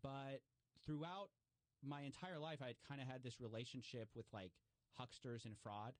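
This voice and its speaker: A man's voice: mid-range voice, fairly even intonation, moderate pace, no echo. A man talking casually as a podcast guest, explaining and telling stories in a conversational way. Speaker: podcast guest, investigative journalist.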